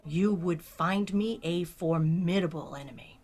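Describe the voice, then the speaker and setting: A woman playing a guarded, commanding starship captain in a science fiction audio drama, with a terse, deliberate manner. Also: fairly low pitch, a little mellow. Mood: guarded, firm.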